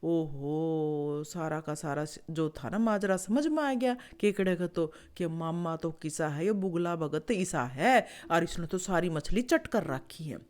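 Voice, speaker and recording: A woman's voice: lowish voice, expressive, measured pace, hardly any pauses. A woman with a Haryanvi accent telling an animal fable in a conversational, folksy way, repeating phrases for effect. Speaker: storyteller, show host.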